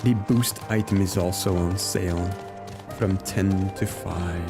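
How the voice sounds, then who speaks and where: A man livestreaming a video game, chatting in an easygoing way and reacting aloud to the game and his viewers. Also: fairly low pitch. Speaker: game streamer. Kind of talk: casual livestream chat while playing. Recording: music behind the voice. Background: game music.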